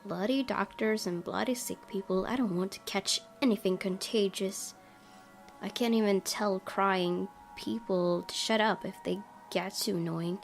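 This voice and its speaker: Female let's-play host, medium pitch, reading story text aloud, soft game music underneath. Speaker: gaming video host. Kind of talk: reading visual novel narration aloud, with casual commentary.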